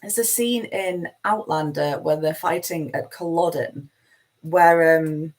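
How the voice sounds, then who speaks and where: A woman with a broad Manchester accent and a low, deep-set voice hosts a live spiritual talk. She meanders through her points in a chatty, self-deprecating way. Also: slow pace. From Manchester, England. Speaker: spiritual teacher hosting a live session.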